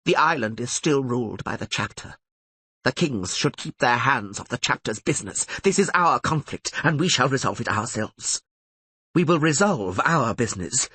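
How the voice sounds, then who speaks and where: A man's voice: mid-range voice, fairly bright tone, husky, no echo, voice pushed up. A man reading an audiobook voices an imperious older sorceress who speaks in a formal, commanding way. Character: stern, imperious older female archmistress sorceress.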